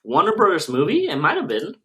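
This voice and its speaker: A man's voice: high-pitched, wildly swooping pitch, fast talker, pushed and bright. A man co-hosting a podcast, chatting casually in a slangy, irreverent way. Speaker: podcast co-host. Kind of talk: casual chat.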